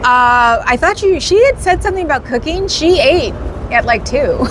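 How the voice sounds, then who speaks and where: A woman's voice: high-pitched, animated, normal speed, noisy background. A woman hosting a casual livestream, chatting with viewers in a rambling way and laughing often. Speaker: livestream host.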